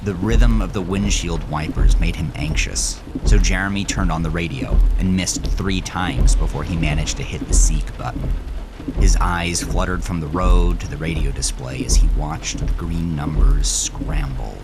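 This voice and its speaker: A man's voice: sits low, moderate pace, over loud music, poor audio. A man narrating a horror story in an intense, grave, dramatic way. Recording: loud horror score with rain behind.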